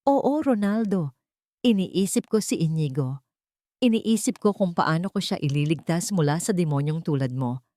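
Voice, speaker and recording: A woman voicing a betrayed wife in an audio drama, measured and quiet, feigning meekness over calm defiance. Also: lowish voice, no echo, clean studio sound, close to an everyday voice. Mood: cold resolve.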